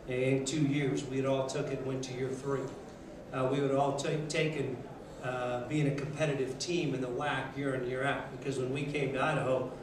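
Flat-voiced coach in an echoey room. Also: male voice, medium pitch. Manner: even, measured, repeats words while thinking. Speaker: head basketball coach. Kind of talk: postgame press conference. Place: press conference room, seated at table. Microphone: table mic. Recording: echoey room, mic from a distance, noisy.

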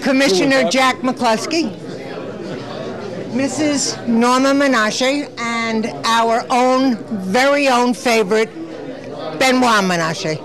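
Gallery host woman, chatty, crowd behind. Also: a little high. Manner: chatty, warm compliments, quick interjections. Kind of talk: casual on-camera interviews. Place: indoor art gallery at an evening event. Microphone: handheld mic.

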